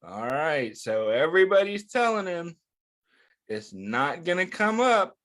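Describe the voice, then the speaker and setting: A man with a slightly high-pitched voice leads a children's story time at a very unhurried pace. He is encouraging and speaks directly to young listeners, asking questions and leaving room for their answers. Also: very animated, fairly bright tone. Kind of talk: interactive read-aloud for young children.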